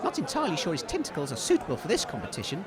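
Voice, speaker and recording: A man playing an excitable, good-natured comic sports commentator, enthusiastic but hesitant and easily flustered. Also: a little high, very fast, a crowd in the background. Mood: cheerful announcing. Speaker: sports commentator and radio co-host.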